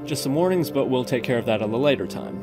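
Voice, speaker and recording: A man with a medium-pitched voice narrates a screen-recorded tutorial. He talks through each step informally, like a chatty host explaining his own work. Music plays clearly behind his voice. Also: sing-song, fast talker.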